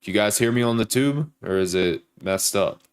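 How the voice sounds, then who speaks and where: A man's voice: on the low side, moderate pace, clean tone. A young man with a sore throat and a stuffed-up, nasal voice, chatting casually with viewers on a trading livestream. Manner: greets viewers by name, hypes likes. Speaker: livestream trader. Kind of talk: casual live-stream chat.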